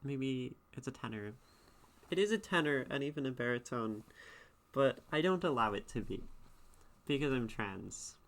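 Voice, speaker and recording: A woman's voice: very deep voice, expressive, moderate pace. A woman streamer chatting casually, reading and answering her chat in a thoughtful, rambling way with frequent restarts. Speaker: streamer and poetry critic.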